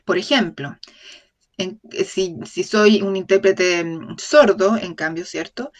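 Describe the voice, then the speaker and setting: A woman voicing a lecture for an interpreter, speaking in a measured way, often correcting herself mid-phrase and checking in with the audience. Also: medium pitch. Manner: measured, with frequent self-corrections and tag questions to the audience. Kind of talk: voiced-over lecture in an open talk series.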